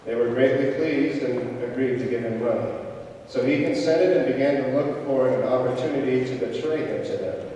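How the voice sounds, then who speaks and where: A man's voice: medium pitch, normal speed, dark tone. A man reading scripture aloud in a solemn style at a church service. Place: large church during a live service. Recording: distant, very echoey church, noisy.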